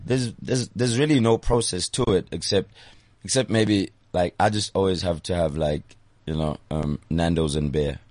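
A man's voice: deep voice, normal speed, a little mellow, no room sound. A man, a rapper, chatting casually as a guest, laid-back and hesitant with lots of fillers. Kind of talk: casual interview chat.

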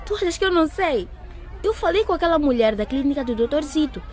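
High-voiced, resolute schoolgirl. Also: lively intonation, fast talker, a little mellow, a faint crowd. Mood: upset. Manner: firm, emotional. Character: resolute teenage schoolgirl, upset and accusing. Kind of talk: radio drama acting.

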